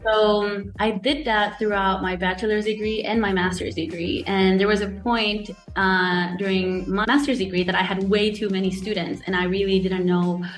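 A young woman whose first language is Spanish talks easily as an interview guest. She speaks in a mid-pitched voice, scatters "like" and "you know" through her sentences and breaks into light laughs, with faint music playing underneath. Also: little pitch movement, normal speed, hardly any pauses, poor audio. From Guatemala. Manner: chatty, laughs often, many fillers like "like" and "you know". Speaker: guest, startup founder. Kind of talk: casual interview chat.

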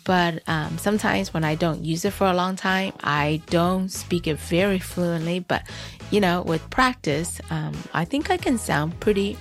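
A woman from Taiwan hosts a podcast in a chatty, lightly self-deprecating way, reading a prepared script. Her voice sits on the low side for a woman. Faint music plays beneath her. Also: unhurried. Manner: chatty, self-deprecating, switches between English and Mandarin. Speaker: podcast host. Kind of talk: scripted bilingual podcast narration.

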